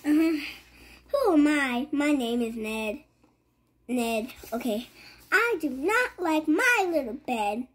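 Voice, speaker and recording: A child reads a picture book aloud in a high voice. The pace is extremely slow, and the pitch moves up and down in a lively way. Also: slight background noise. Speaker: child reader.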